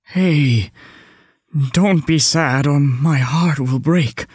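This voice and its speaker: An actor playing a lonely, gentle beast in love in a children's fairy tale, speaking hesitantly and full of self-doubt. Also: medium pitch, slightly rough, no echo, clean studio sound. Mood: tender, pleading. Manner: halting, hesitant, pleading.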